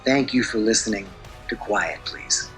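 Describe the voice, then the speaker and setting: A man's voice: on the low side, lively intonation, measured pace, a bit bright, faint music behind. A man presenting a radio drama series with a scripted sign-off as its director.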